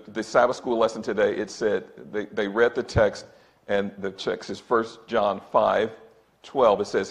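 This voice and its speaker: A man in his sixties, a church elder, preaching emphatically from a pulpit and often calling out to brothers and sisters. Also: mid-range voice. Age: 60s. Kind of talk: sermon. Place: church sanctuary, indoors. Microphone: pulpit microphone, far camera.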